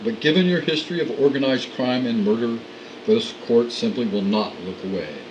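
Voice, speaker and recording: Stern old-fashioned judge, measured and formal, played by a man, over audible hiss. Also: medium pitch, highs cut off, lightly acted. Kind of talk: radio play acting.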